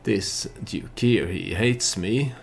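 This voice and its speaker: A man hosting a let's play, giving storytelling game commentary in a halting way, as if thinking aloud. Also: fairly low pitch, vocal fry, slight background noise.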